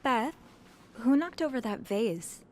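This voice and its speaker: A woman's voice: a little high, lively intonation, slow pace, a little hiss or hum. A woman playing a shy, awkward, earnest young hotel maid in a video game, speaking hesitantly. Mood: concerned. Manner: hesitant, stammering. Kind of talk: naturalistic video game acting.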